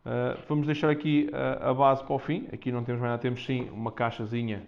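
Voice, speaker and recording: Low-voiced Portuguese man, casual, slightly muffled. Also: flat delivery, fast, vocal fry. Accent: European Portuguese. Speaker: vlogger presenting a product unboxing. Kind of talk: casual unscripted unboxing talk to viewers. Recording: dull, muffled lo-fi sound.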